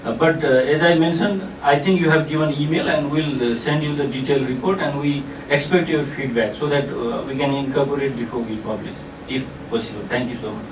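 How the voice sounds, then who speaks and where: A man speaking as a panel presenter in a semi-formal discussion, with halting, hesitant phrasing. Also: medium pitch, steady tone. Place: echoey indoor discussion event. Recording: echoey room, distant mic, faint noise.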